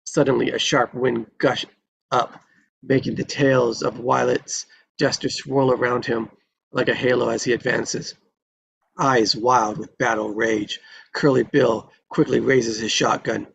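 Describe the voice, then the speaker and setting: A man's voice: medium pitch, little pitch movement, a little slow, creaky, fairly bright tone, slight background noise, low-quality recording. A man reading screenplay stage directions in a dramatic narrating style at a table read.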